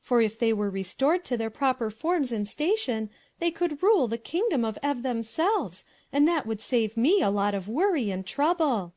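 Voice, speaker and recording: A woman playing a vain, haughty, quick-tempered princess in a dramatized storybook, imperious and dramatic. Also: fairly high pitch, animated, a little mellow, dry recording, close to an everyday voice. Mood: eager, self-absorbed.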